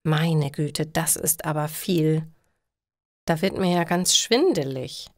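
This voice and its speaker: A woman's voice: sits low, takes it slowly, no room sound, clean studio sound. A woman voicing a chatty woman who frets over her household chores in a story. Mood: flustered, overwhelmed.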